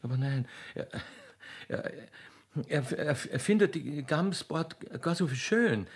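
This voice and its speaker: Man putting on a bashful young mountain girl, Austrian accent, halting, slow delivery, smitten, simple, radio comedy. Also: fairly high pitch, animated, breathy edge, full character voice. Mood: naive bashful. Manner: shy, stammering, slow. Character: naive, lovestruck young railway keeper's daughter from the Alps.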